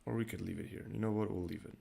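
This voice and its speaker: A young man with a raspy voice, thinking aloud in low-key, casual commentary while streaming his day trading live. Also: fairly even intonation, rapid-fire, warm tone. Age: young adult.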